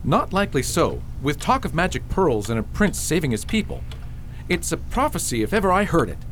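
Radio fairy tale: practical, protective merchant father played by a man, lively, somewhat high voice, emphatic. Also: brisk, a little hiss or hum. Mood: earnest.

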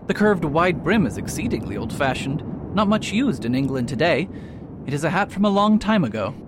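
A man's voice: fairly high pitch, very animated, moderate pace, background noise. A man playing a proud, fussy retired detective doctor in an audio drama, speaking formally and pompously with hesitations. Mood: dry, reluctant.

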